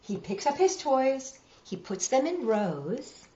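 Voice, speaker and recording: A woman's voice: high-pitched, very animated, takes it slowly, mellow, reverberant, lo-fi sound. A woman hosting a children's storytime, reading aloud and chatting directly to young listeners.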